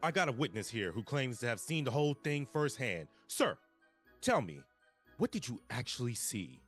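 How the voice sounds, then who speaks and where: Guy doing earnest tv news reporter lady, animated delivery, music behind. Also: medium pitch, normal speed. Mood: inquisitive, bright. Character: brisk female TV field reporter, earnest. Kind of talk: news report in a live table read.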